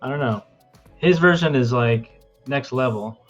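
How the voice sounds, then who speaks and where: A man with a mid-pitched voice co-hosts a casual livestream. He talks in emphatic, sweary slang. He is on a webcam microphone, with faint music behind him. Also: measured pace, a bit bright, lo-fi sound. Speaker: show co-host. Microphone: webcam built-in microphone.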